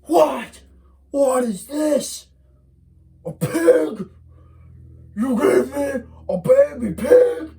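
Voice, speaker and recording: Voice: mid-range voice, fairly even intonation, measured pace, creaky, slightly rough, heavily acted. A storyteller voicing the hairy man, a menacing male swamp monster who boasts and threatens. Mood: furious. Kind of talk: oral folktale telling.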